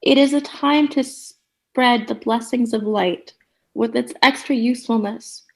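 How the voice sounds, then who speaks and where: A woman's voice: on the high side, measured pace, creaky. A woman playing a relentlessly cheery, bossy sitcom character in a comedic radio play, theatrical, gushing and condescending. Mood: rapturous.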